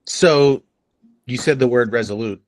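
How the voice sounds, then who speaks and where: A podcast co-host in casual book club banter, playful and quick to wander off on tangents. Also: medium pitch, dry recording, poor audio.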